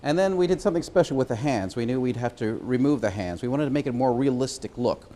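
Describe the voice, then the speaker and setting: Halting man presenting, mid-pitched voice. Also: animated, dark tone. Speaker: puppeteer presenting the show. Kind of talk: informal explanatory presentation.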